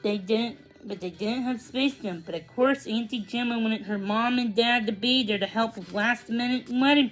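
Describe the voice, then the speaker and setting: Woman, fairly high voice, children's read-along, reading aloud, occasional stumbles and rereads. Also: rough recording. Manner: stumbles over words and rereads them. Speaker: storybook reader.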